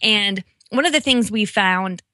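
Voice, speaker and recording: A woman's voice: medium pitch, measured pace, pushed and bright, no room sound, clean studio sound. A chatty middle-aged woman who laughs easily, talking casually as a guest on a podcast interview. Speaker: podcast guest, read-aloud podcast creator.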